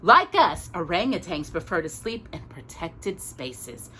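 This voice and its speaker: A woman hosting a storytime, reading a picture book aloud in an expressive, chatty way with casual asides. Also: on the low side, measured pace, a little hiss or hum. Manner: expressive, chatty, stumbles and corrects herself.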